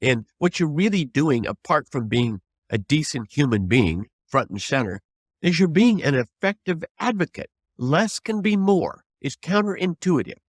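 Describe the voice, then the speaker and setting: A man's voice: on the high side, sing-song, normal speed, no echo, clean studio sound. An older man, a trial lawyer, giving advice as an interview guest in an earnest, emphatic, folksy way with rhetorical questions.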